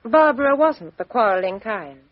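An actress playing a composed, outspoken young Englishwoman with a crisp, frank upper-class delivery in a 1950s radio drama. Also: a little high, animated, moderate pace, no echo. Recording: dull 1950s radio recording.

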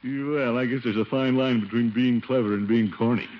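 An actor playing a pompous, scheming middle-aged bachelor uncle in a blustery 1950s radio comedy style. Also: male voice, mid-range voice, steady tone, normal speed, lightly acted. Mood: flirtatious, rivalrous. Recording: noisy, muffled 1950 radio broadcast.

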